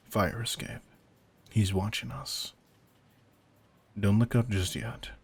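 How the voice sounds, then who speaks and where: A man performs a seasoned vampire hunter with a very low, gravelly voice. He speaks slowly and deliberately, commanding and faintly predatory, often sinking into breathy murmurs. It is an intimate audio drama with ambient noise behind him. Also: heavily acted. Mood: focused, commanding. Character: veteran male vampire hunter, intense, commanding, secretly predatory. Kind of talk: slow-burn roleplay audio drama addressed to the listener.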